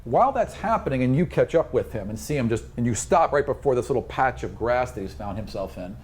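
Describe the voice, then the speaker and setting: A man's voice: medium pitch, expressive, fast, darkish tone, a little hiss or hum. A man improvising narration as game master in a tabletop fantasy game.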